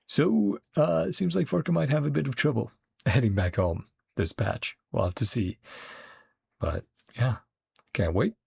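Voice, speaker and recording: A man's voice: medium pitch, unhurried, gravelly, darkish tone, slightly rough. A man rambling casually as he streams a game and reacts to it. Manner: rambling, chatty.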